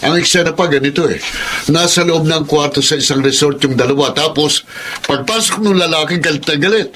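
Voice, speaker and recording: A man's voice: a little high, brisk, fairly bright tone, a little hiss or hum, natural voice. A man playing a gossipy, well-meaning, hard-drinking brother-in-law in a Filipino radio drama. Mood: eager storytelling.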